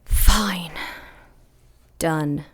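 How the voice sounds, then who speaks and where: A woman's voice: low voice, extremely slow, slightly rough, heavily acted. A woman playing an ancient demon queen in an audio drama, imperious, disdainful and threatening. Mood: skeptical. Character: ancient demon queen, haughty, menacing mother of monsters.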